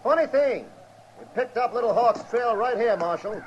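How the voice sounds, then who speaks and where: A man's voice: very high-pitched, expressive, normal speed, a little hiss or hum. A man playing a grim, battle-worn frontier cavalry lieutenant with a clipped military manner in a 1950s radio western. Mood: grim, weary. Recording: dull old radio recording.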